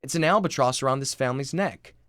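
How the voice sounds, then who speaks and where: A man's voice: mid-range voice, fast talker, a bit bright, dry recording, clean studio sound, close to an everyday voice. A man voicing a brash, self-obsessed father in his forties, boastful and exasperated, in a screenplay reading.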